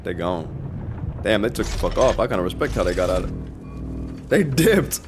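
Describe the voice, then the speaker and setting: A man streaming a video game with casual, slangy, profane commentary. His voice sits a little low but swings widely in pitch, with game music behind it. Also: sing-song, normal speed. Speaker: gaming streamer.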